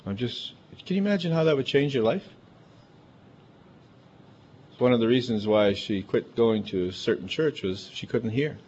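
A man's voice: mid-range voice, expressive, a little fast, a little mellow. A man with a big, emphatic voice, preaching a chatty, conversational sermon full of asides. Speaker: pastor. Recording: dull lo-fi recording.

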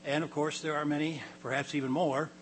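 An older man with a raspy voice, a pastor giving a halting sermon to his congregation, often clearing his throat and repeating words. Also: on the high side, little pitch movement, a little hiss or hum. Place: church hall.

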